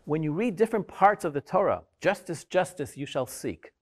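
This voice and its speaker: A man in his fifties, a Torah scribe, talking in an animated, storytelling way with humorous asides. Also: a little high, moderate pace. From Israel. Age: 50s. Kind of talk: interview soundbite.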